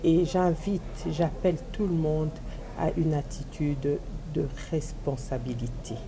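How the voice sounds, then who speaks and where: A woman politician from DR Congo speaks, low in pitch and unhurried. She makes an emphatic rallying appeal, driving her point home by repeating key phrases. A steady noise sits behind her voice. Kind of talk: televised interview statement.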